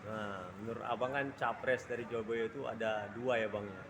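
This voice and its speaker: A man's voice: lowish voice, fairly even intonation, normal speed. A man interviewing casually, with short questions and brief reactions. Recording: noisy ambient background.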